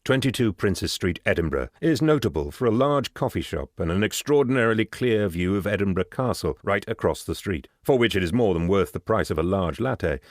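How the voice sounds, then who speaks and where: A man reading scripted literary prose as an actor, in a measured, descriptive storytelling manner. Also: sits low, no echo, clean studio sound.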